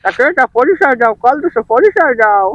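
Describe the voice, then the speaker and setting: An old farmer from the south, panicky and easily enraged, in a comic dub. The voice is high and races along, tripping over repeated words. It sounds close and dry, like a prank call. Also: male voice, very animated, brisk, fairly bright tone, dry recording, muffled recording, heavily acted. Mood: friendly, curious. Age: older. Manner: spluttering, repeats words frantically. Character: excitable old village farmer, panicky and furious.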